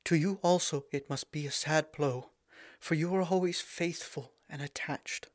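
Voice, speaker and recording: A man's voice: fairly high pitch, no echo, studio quality, pitched above the performer's own voice, close to an everyday voice. A man doing a young regal princess. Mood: gentle. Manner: clear ringing tones, slow and stately.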